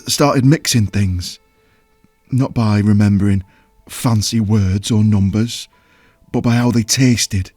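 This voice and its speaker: An actor playing a huge, simple, childlike potion brewer in an audio drama, with rustic folksy speech and a halting, earnest, wounded manner. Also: male voice, medium pitch, warm tone, no echo. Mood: hurt, earnest. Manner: halting, plain-spoken, earnest. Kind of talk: audio drama character acting.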